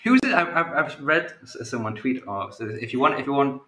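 Fast-talking Geordie man, roomy sound. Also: medium pitch, very animated, pushed and bright. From northeast England. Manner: chatty, self-deprecating, frequent restarts. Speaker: podcast host. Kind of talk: casual chat. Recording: roomy sound with faint ambience.

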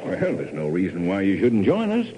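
An affable, very animated professor. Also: male voice, sits low, normal speed. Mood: friendly, persuasive. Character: affable, easygoing professor joining a mountain climb. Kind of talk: 1950s radio drama acting. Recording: noisy old radio broadcast.

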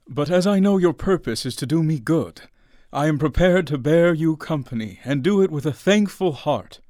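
A man's voice: fairly high pitch, expressive, normal speed, a little mellow, no room sound, clean studio sound, natural voice. An actor playing a frightened but resolute man speaking to a silent ghost in a radio drama. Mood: fearful. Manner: earnest, shifts between fear and resolve.

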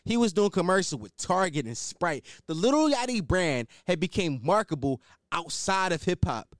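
A young man hosting a solo podcast, blunt and opinionated, swearing and riffing off the cuff, with a higher-pitched voice. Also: dry recording, studio quality. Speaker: podcast host.

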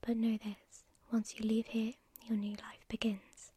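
A woman voicing a calm, commanding male angel messenger in a breathy whisper, with a measured, preaching delivery. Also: fairly high pitch, no echo. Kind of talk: whispered storytelling.

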